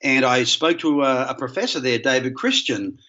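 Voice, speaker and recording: A man's voice: mid-range voice, bright, forceful tone. Australian man, muffled lo-fi recording. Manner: measured and thoughtful, hesitant between phrases. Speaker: guest historian. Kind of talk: podcast interview answers.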